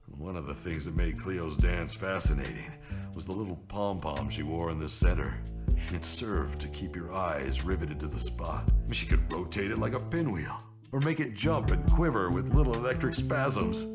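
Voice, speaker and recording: An actor playing a narrator who reads lurid, lingering pulp prose in an audio play. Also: male voice, sits low, moderate pace. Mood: sultry, lingering. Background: loud music.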